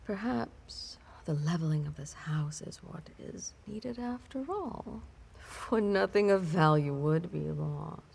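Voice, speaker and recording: A woman playing a cunning, disdainful vampire mistress in an intimate audio drama, with haughty archaic phrasing and a breathy, whispery delivery. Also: low voice, expressive, a little mellow, heavily acted. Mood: brooding disdain. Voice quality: breathy, whispery, with creaky edge. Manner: haughty, archaic, theatrical phrasing with lingering pauses. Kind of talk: intimate scripted roleplay audio drama monologue.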